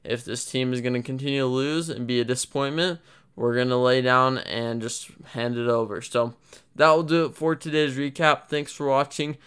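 A man's voice: mid-range voice, steady tone, moderate pace, a bit bright, smooth. A young man hosting a fan recap, talking through the game in an earnest, frustrated way with restarts. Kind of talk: casual recap voice-over. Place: voice-over over game footage.

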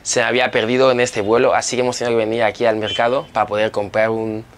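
A man's voice: mid-range voice, little pitch movement, quick pace, slight background noise. A young man casually narrating a travel vlog to camera, upbeat and chatty, calling his viewers family as he walks around a beach resort and a busy market. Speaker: vlogger. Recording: music bed underneath.

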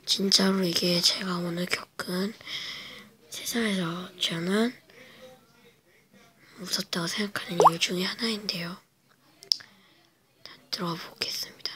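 Woman vlogger, whispering, breathy and bright, slow and halting delivery at home. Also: lowish voice. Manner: slow, hesitant, with many fillers. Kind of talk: whispered casual storytelling to camera. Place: home, indoors.

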